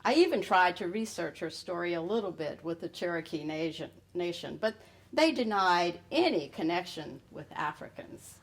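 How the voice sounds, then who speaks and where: A middle-aged woman reading her personal essay aloud in a wry storytelling manner with pointed pauses. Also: on the low side, lively intonation. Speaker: writer reading own piece.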